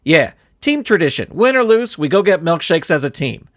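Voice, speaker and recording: A man's voice: on the high side, very animated, moderate pace, fairly bright tone, no echo. A man voicing an exasperated, overbearing young soccer coach in a storybook, bossy and emphatic. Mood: dutiful.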